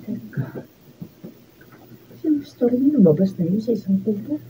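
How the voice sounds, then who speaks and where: A very soft, dark-toned Filipino wife played by a woman in a radio drama, set on finding her lost husband. There is some background noise. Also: a little high, expressive, normal speed, natural voice, low-quality recording. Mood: tense, intent. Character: determined wife searching for her missing husband.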